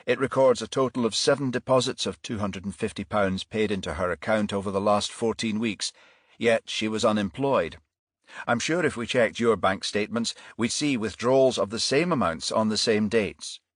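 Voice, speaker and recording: Man acting a low-voiced, stern police detective. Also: dry recording, clean studio sound, close to an everyday voice. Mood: formal, pressing. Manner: formal, measured, pressing. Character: stern, methodical male police detective questioning suspects.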